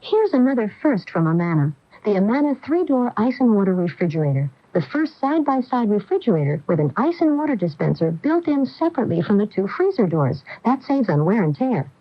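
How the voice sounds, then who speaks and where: A woman's voice: mid-range voice, sing-song, a little fast, hardly any pauses. A woman reading a scripted radio advertisement with a polished, emphatic sales delivery. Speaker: commercial announcer. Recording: dull lo-fi 1980 radio broadcast.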